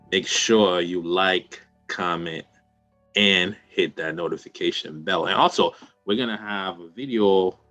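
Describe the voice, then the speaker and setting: A man's voice: low voice, bright, forceful tone. A man co-hosting a talk show, giving a loose, rambling sign-off to viewers with many fillers. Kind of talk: channel outro plug.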